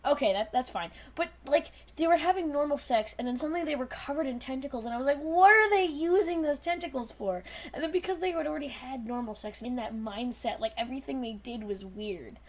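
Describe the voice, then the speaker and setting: A young woman with a fairly high voice co-hosts a casual conversation. She talks in a rambling, animated way with a teasing edge, as if among friends. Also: brisk. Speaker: podcast host. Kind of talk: casual group chat.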